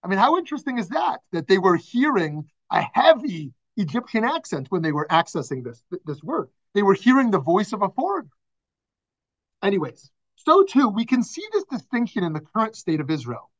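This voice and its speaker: A man's voice: high voice, big pitch swings, fairly quick. A man, a rabbi, teaching a class over a video call, talking conversationally, putting questions to the group and laughing often. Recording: dull lo-fi video call audio.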